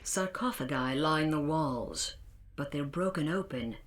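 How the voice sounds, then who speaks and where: An actress playing a mysterious, grave dungeon master, narrating a fantasy game in scripted dramatic style. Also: female voice, low voice, lively intonation, unhurried. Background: game music.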